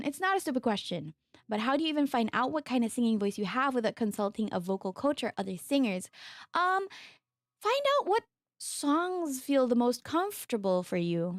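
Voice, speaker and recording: A young woman from the Philippines, a streamer chatting casually with her viewers and answering their questions, with a low voice from a lingering sore throat. She rambles with plenty of fillers and laughs. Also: no room sound, clean studio sound. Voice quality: mezzo-soprano singing voice. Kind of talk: casual chat with chat readers.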